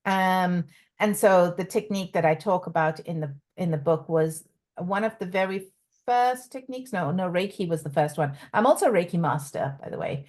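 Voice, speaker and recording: A middle-aged woman from South Africa, a coach and author, talking in a casual interview with many pauses and restarts. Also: lowish voice, darkish tone. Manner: halting, many ums and restarts, laughs often.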